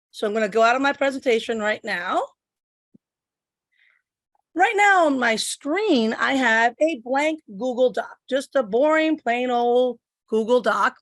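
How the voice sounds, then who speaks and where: A woman's voice: fairly high pitch, a bit bright, dry recording. A middle-aged woman from Puerto Rico giving a step-by-step tech demo at her computer, explaining each click as she goes. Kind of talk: instructional screen demo. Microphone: computer microphone.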